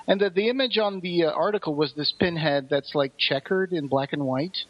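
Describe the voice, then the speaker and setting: Higher-voiced man on dull lo-fi audio. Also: brisk. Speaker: podcast co-host. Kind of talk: casual podcast discussion.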